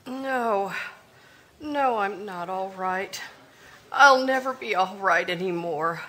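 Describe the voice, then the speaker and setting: A fragile, despairing young sister in a 1940s-style radio mystery, played by a woman. She speaks slowly and falteringly in a bright, tearful voice. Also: medium pitch. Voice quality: tearful, faltering, self-pitying. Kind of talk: live old-time radio drama.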